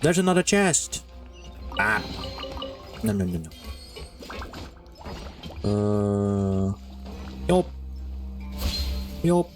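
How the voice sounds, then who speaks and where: Streamer chatting over gameplay, super lively pitch, unhurried, gushy and playful, game music behind. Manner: playful, gushing, easily flustered, chats to characters. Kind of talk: casual gameplay commentary.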